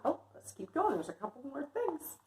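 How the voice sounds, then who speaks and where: A woman's voice: sits high, big pitch swings, moderate pace, darkish tone. A woman teaching young children in a read-aloud lesson, encouraging and often checking in with her listeners. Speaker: kindergarten teacher. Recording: echoey room sound.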